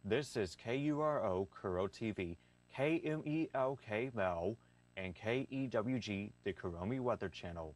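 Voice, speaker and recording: A man reading a formal, scripted emergency announcement as a broadcast announcer, in a measured and impersonal manner. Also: lowish voice, lively intonation.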